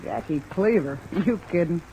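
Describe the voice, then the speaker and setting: A woman's voice: fairly low pitch, lively intonation, moderate pace, warm tone, close to an everyday voice, rough recording. An actress playing a brassy, cagey small-town hotel desk woman in an old-time radio drama, with slangy, familiar talk. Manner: folksy slangy familiarity, evasive. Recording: old radio recording under rain noise.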